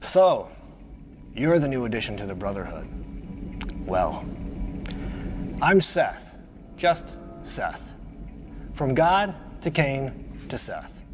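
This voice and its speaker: An actor playing a smug, menacing right-hand man of a cult leader in a game cutscene, deliberate and condescending. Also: mid-range voice, sing-song. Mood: smug, cold. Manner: deliberate, condescending, coolly threatening. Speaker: villainous mission briefer. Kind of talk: video game cutscene acting.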